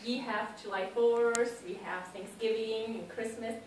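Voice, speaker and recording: A woman's voice: fairly high pitch, fairly even intonation, a little slow, darkish tone, a little hiss or hum. A woman from Germany, describing her own experience in simple, step-by-step English. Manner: simple plain phrasing, explains step by step. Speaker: panelist. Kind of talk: personal account on a panel. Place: large hall. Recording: echoey hall, mic far off.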